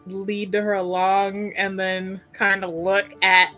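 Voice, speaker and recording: A woman's voice: medium pitch, level tone, slow pace, sharp tone. A woman playing a tabletop roleplaying game, improvising dialogue and actions in a casual, hesitant way over background music. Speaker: tabletop RPG player. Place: online streamed game session.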